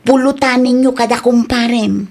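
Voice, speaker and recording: Woman acting a high-voiced, defensive young Ilocano food vendor. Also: steady tone, moderate pace, darkish tone. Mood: dejected. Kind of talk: comedy-drama dialogue.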